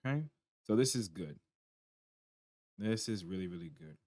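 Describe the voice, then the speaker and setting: A tired man with a hoarse voice, casually talking through game patch notes at his computer and reacting as he reads. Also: sits low, animated, moderate pace, darkish tone, no room sound, studio quality. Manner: chatty, reacts aloud while reading on screen. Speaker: gaming channel host.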